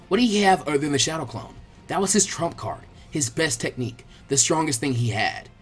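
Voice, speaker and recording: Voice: mid-range voice, slightly rough. Dramatic story narrator over background music. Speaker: audiobook narrator.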